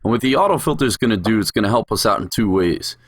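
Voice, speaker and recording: Low-voiced man giving a software tutorial. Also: lively intonation, quick pace, breathy edge, no echo, studio quality. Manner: explanatory, step by step. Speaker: instructor. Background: music production sounds, drum hits.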